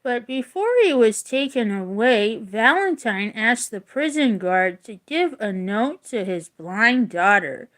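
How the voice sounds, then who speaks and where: A woman reads aloud like a teacher sharing a storybook, in a fairly high voice that rises and falls with great variety. She takes her time over each phrase, mixing deliberate storytelling with casual explanation. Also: lively intonation, a bit bright. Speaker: teacher reading a book aloud. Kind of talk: expressive read-aloud with casual explanatory asides.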